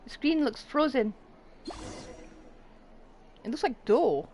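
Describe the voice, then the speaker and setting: Lively, high-voiced woman streaming a game. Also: takes it slowly, slight background noise. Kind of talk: casual live gameplay commentary.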